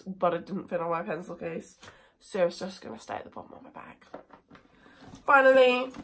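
A teenage girl from England with a cold and a croaky voice, chatting casually and with a self-mocking liveliness to a phone camera in her bedroom. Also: medium pitch, fairly bright tone. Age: teens. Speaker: vlogger. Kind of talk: casual chat. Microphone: phone camera at desk distance.

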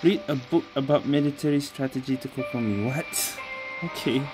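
A woman doing casual, low-key gameplay commentary, murmuring bits of text as she reads along. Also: moderate pace, poor audio. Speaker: game streamer. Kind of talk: casual gameplay commentary, half reading aloud. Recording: faint game music behind.

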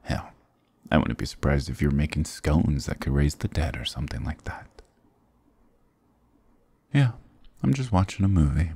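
Very deep, gravelly flirty goth guy, acted by a man. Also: animated, normal speed, pauses often, mellow, dry recording, heavily acted. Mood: teasing, curious. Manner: teasing, affectionate, mock-dramatic. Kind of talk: intimate ASMR roleplay acting.

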